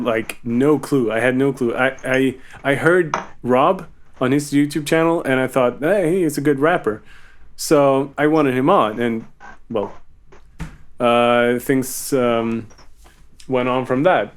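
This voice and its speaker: A man hosting a casual group Q&A, informal and given to swearing as he reads questions and answers them. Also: medium pitch. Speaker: music producer hosting a Q&A.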